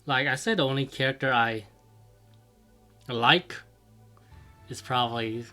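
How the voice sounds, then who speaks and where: Slow, bright-toned young man giving blunt opinions. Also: medium pitch, faint music behind. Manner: blunt, short opinions, drawn out. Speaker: podcast co-host. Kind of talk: casual gaming podcast chat.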